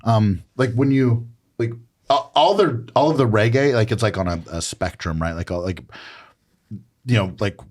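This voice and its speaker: A man's voice: fairly low pitch, moderate pace, darkish tone. A man hosting a podcast in casual group chat, with a profane, bantering, slangy way of talking, recorded in a small room. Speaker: podcast host.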